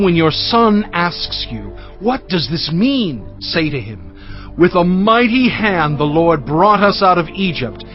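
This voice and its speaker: Earnest male prophet, higher-pitched voice, declamatory oratory, biblical audio drama, dulled sound, background music. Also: dull audio. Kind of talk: dramatized scripture reading.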